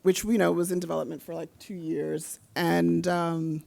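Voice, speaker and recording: A woman from South Africa, a theater director, telling her career story on a panel with lively energy and some laughter. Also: lowish voice, moderate pace, creaky. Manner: animated storytelling with laughs and fillers. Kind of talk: panel discussion answers. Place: convention panel hall.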